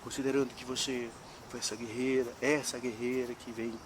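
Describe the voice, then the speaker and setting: A man's voice: mid-range voice, little pitch movement, a little fast, background noise. A Brazilian man interviewing, speaking formally and at length in legal terms. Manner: formal, long-winded, legalistic phrasing. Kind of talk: interview questions.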